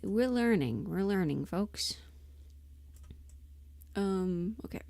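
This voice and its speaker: A woman's voice: mid-range voice, lively intonation, slow pace, vocal fry, darkish tone, dry recording. A woman chatting casually on a livestream, informal and rambling, muttering asides to herself as she thinks aloud. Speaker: livestream host and amateur voice performer.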